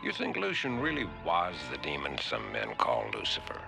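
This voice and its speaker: An actor playing a wry, familiar ship's officer in a 1970s sci-fi television cartoon. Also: male voice, rumbling low, wildly swooping pitch, moderate pace, gravelly, music in the background, full character voice.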